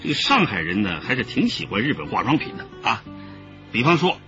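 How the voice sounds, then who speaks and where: Male, jovial smooth-talking trader boss, radio drama, very animated delivery, music underneath. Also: mid-range voice, a little fast. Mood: laughing, effusive. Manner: effusive, chuckling, peppered with interjections. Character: jovial, smooth-talking boss figure haggling over a smuggling deal. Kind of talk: Chinese radio drama acting. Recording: dull radio drama audio with background music.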